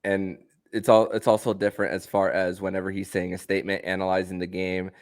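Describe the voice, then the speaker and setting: A man's voice: deep voice, monotone, brisk, no room sound, clean studio sound. A man speaking as the host of a sports podcast. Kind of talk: podcast interview.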